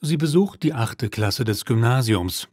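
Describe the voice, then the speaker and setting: A man's voice: medium pitch, expressive, unhurried, darkish tone, no echo, studio quality. A man playing a polite, businesslike father making an enquiry in a scripted language-lesson dialogue. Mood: courteous. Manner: courteous, businesslike. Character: polite father seeking tutoring for his daughter.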